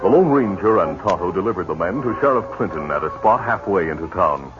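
A man announcing and narrating a 1950s radio western, booming and emphatic in scripted delivery, on a dull old broadcast recording. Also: animated, brisk, over loud music.

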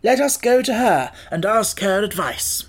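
A narrator as a kindly, high-voiced living scarecrow. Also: big pitch swings, a little slow. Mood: kindly, encouraging. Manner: kind, encouraging, self-assured. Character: kindly, proud living scarecrow, cheerful leader.

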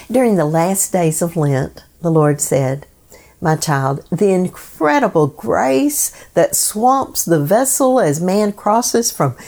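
A woman hosting a devotional program, reading scripted meditations in a solemn, measured way. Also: on the low side, darkish tone.

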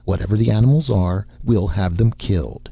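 A man reading aloud, voicing a stern industrialist who speaks in a brisk, commanding way, in a slightly muffled dry recording. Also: on the low side, creaky, breathy edge, dry recording. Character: stern, flustered male industrialist.

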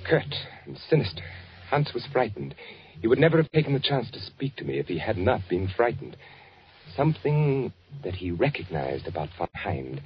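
An actor playing a shrewd undercover spy posing as an architect, speaking in a clipped, deliberate way in 1940s radio drama style. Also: male voice, on the low side, sing-song, faint music behind. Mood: grave, uneasy. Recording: dull, band-limited 1940s radio recording.

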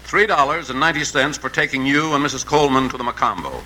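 Sharp, piercingly bright radio tax official. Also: male voice, mid-range voice, fast. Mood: courteous, inquiring. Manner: formal, hesitant, courteous. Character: polite, apologetic assistant tax official. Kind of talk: 1950s radio comedy acting. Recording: faintly noisy vintage 1950s radio broadcast.

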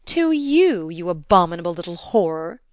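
A woman reading aloud and voicing a wrathful, scornful stepmother from period fiction in a loud, vehement manner. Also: mid-range voice, moderate pace, dry recording, natural voice. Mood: indignant.

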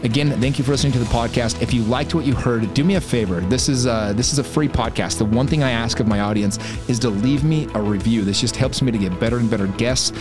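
A man's voice: medium pitch, fast, few pauses. Man's podcast outro over music. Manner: friendly direct appeal to listeners. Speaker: podcast host. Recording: music bed underneath.

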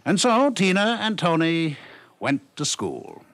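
A man's voice: a little high, wildly swooping pitch, measured pace, a bit bright, slight background noise. An American man narrating a scripted 1950s radio story in a wry, polished way.

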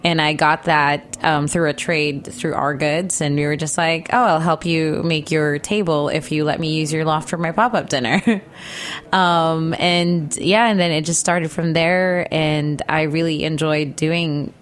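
A woman in her thirties from the Philippines, a chef and interview guest who laughs often and talks in an animated way. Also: deep voice, measured pace. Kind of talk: casual interview.

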